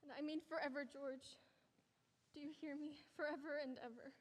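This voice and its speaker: Woman as a crying young bride, pleading, breathless, very high voice, reverberant old film sound. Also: level tone, brisk, a little hiss or hum, close to an everyday voice.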